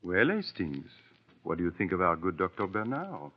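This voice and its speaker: An actor playing a courteous, probing detective with a French-speaking Belgian accent and halting, formal phrasing in a radio drama. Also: male voice, deep voice, big pitch swings. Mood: wry. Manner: halting, formal, questioning with small interjections. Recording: dull old radio recording.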